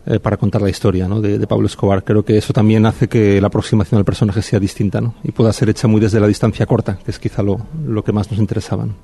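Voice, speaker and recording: A middle-aged man speaks in a low, mellow voice. His delivery is flat and reflective, full of pauses, restarts and little tag questions as he answers at a press event. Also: vocal fry. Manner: thoughtful, hesitant, many pauses and restarts. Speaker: film director. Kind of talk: festival press interview.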